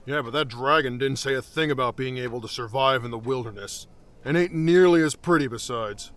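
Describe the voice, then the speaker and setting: A casual, upbeat fantasy adventurer in an audio drama, acted by a man. The voice is mid-pitched, with a loose, folksy delivery. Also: brisk, fairly bright tone, lightly acted.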